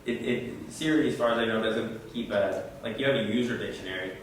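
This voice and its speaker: A man's voice: on the low side, a little hiss or hum. Man giving tech tips in an echoey room. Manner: conversational, halting with repeated words. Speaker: presenter at a computer user group. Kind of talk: informal tech tips talk answering questions. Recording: echoey room, mic far off.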